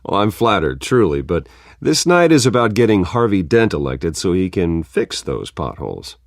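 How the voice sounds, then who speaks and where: An actor playing a reserved wealthy philanthropist in a video game, speaking in a measured, polite, guarded way. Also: male voice, low-pitched, very animated, no echo, clean studio sound. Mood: earnest, measured. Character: reserved wealthy man, smooth charming philanthropist host.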